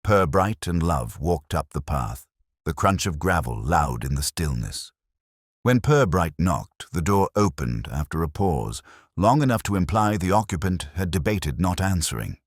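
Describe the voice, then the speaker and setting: Male narrator, mystery story, measured, wry, very deep, dry close sound. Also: sing-song, husky, no room sound.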